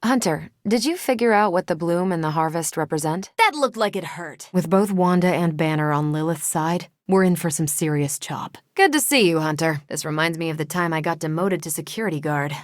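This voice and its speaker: A woman's voice: low voice, expressive, fairly quick, few pauses, no echo, studio quality. A woman voicing a confident, wry, friendly superhero in a video game, with a breezy, teasing, dryly self-assured delivery. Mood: casual, teasing. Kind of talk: video game voice acting, conversational.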